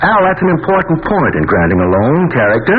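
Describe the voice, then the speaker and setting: An actor playing a paternal, cautious bank president with formal, patronizing authority in a 1940s radio drama. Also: male voice, mid-range voice, big pitch swings, close to an everyday voice. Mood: cautious, admonishing. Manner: formal, measured, avuncular authority. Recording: tinny, noisy old radio broadcast.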